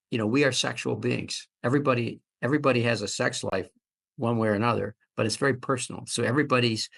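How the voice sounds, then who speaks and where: A man in his seventies answering as an interview guest, speaking conversationally and haltingly, often repeating words as he reflects. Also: medium pitch, creaky, a bit bright, slightly rough. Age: 70s. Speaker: fertility doctor, interview guest.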